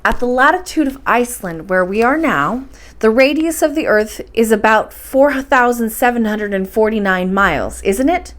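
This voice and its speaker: A woman's voice: on the high side, expressive, normal speed, a bit bright. A woman voicing a cautious young nephew in a storybook, politely questioning and reasoning, respectful but doubtful.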